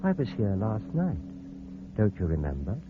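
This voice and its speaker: Low-voiced grave radio-drama doctor. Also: male voice, huge pitch swings, normal speed. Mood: calm, coaxing. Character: grave, considerate male doctor. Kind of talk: old-time radio drama acting. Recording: muffled, hissy old radio recording.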